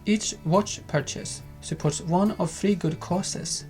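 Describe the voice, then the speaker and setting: A man co-hosting a lifestyle vlog, speaking calmly and unhurriedly. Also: high voice, slow, faint music behind. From Sweden. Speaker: vlog co-host. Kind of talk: casual chat and sponsor read.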